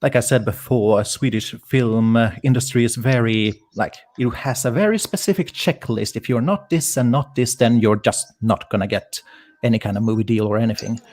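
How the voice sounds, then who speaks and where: A man's voice: medium pitch, moderate pace, darkish tone. A man, a guest writer on a video call, rambling and thinking aloud with fillers. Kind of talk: casual livestream chat.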